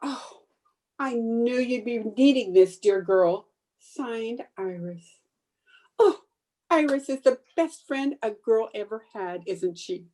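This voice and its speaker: An excitable, scheming 1950s radio sitcom housewife, played by a woman. She gushes theatrically in a high voice that swoops up and down, with a mellow tone. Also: slow pace. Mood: relieved, curious. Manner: theatrical, gushing, breezy. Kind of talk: old-time radio sitcom acting.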